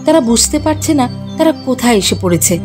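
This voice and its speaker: A woman's voice: high-pitched, expressive, brisk. A woman reading scripted fairy-tale narration as the storyteller. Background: faint music.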